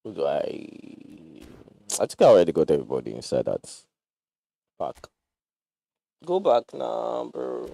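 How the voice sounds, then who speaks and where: A man's voice: low voice, a little slow, gravelly, pauses often, no room sound. A young Nigerian man giving loose, joking commentary while he plays on a livestream, slipping into pidgin slang. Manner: animated, jokey, mixes pidgin slang. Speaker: gaming streamer.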